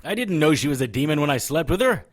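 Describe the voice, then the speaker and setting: A man's voice: fairly high pitch, lively intonation, fast talker. A man playing a world-weary, wisecracking hard-boiled investigator in an audio drama, dry and sarcastic. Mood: teasing, defensive.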